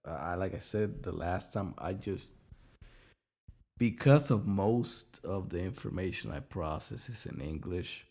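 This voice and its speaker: A man's voice: deep voice, expressive, a little slow, a little mellow. A man with a Puerto Rican Spanish accent co-hosting a podcast, chatting casually and rambling, often repeating words as he restarts. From Puerto Rico. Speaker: podcast co-host. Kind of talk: casual chat.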